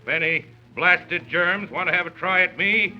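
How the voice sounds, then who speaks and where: A man's voice: sits high, moderate pace, soft background music, lightly acted. An actor playing an easily offended, stubborn middle-aged father in a pompous, indignant 1930s radio style. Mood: blustering, defiant. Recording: dull 1930s radio recording.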